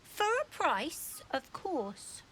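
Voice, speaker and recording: Cheeky, high-pitched child scamp. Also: female voice, very animated, drawn-out words, fairly bright tone, full character voice. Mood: scheming. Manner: conspiratorial, cheeky. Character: mischievous, cheeky young child scamp peddling stolen wine. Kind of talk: video game character acting.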